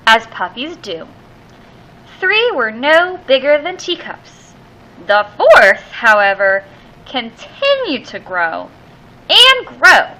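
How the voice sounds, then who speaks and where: A woman reads a children's storybook in a high, bright voice. Her pitch swings widely as she leans theatrically on key words, drawing them out for young listeners. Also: rough recording. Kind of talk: expressive children's storybook read-aloud.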